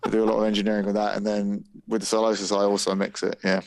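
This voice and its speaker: A man from the UK, a guest musician, talking in a relaxed, modest way and sounding tired. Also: lowish voice, racing, gravelly, no room sound. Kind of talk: casual interview chat.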